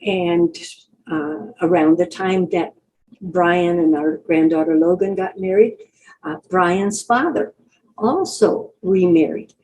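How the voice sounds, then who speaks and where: An older woman giving an unscripted Bible lesson in a deliberate, explanatory manner with many pauses. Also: lowish voice, dark tone, rough recording. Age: older, great-grandmother. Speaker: Bible teacher.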